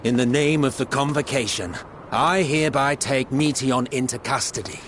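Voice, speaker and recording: A man plays a stern official in a fantasy game scene. His mid-pitched voice is formal and commanding, the delivery of someone used to authority. There is faint noise behind him. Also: moderate pace, a bit bright, natural voice. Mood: stern, resolute. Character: stern, authoritative male official making an arrest. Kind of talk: video game cutscene acting, formal dialogue.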